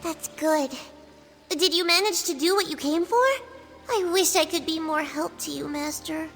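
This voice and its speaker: A woman voicing an eager, devoted young girl disciple in a video game, sweet, soft and slightly hesitant. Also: very high voice, moderate pace, soft background music. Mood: shy, wistful. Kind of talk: video game character acting.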